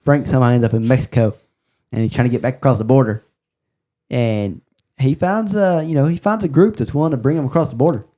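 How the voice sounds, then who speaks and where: A man's voice: mid-range voice, fast talker, mellow. A man co-hosting a podcast, talking casually about pop culture with friends. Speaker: podcast co-host.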